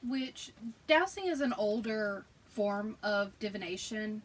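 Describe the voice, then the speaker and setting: A woman's voice: medium pitch, lively intonation, slow, a little hiss or hum. A woman with a Southern US accent chatting casually as a co-host, self-deprecating and rambling as she tells stories. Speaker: podcast co-host. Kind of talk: casual chat.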